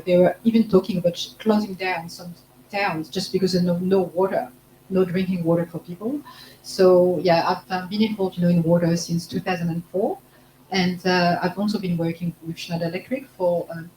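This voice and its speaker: A woman's voice: fairly low pitch, fairly even intonation, moderate pace, mellow. French-accented woman, chatty and filler-heavy. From France. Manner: heavy use of "like, you know" fillers. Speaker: panelist, marketing manager. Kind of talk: panel discussion. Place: conference panel room. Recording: echoey room, faint hum.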